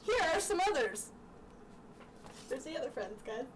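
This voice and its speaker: A young woman is heard at some distance from the mic over clear background noise. Her voice is fairly high, and she reads aloud in a casual, chatty style, often breaking off into giggly asides. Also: very animated, moderate pace. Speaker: amateur vlogger reading childhood books aloud.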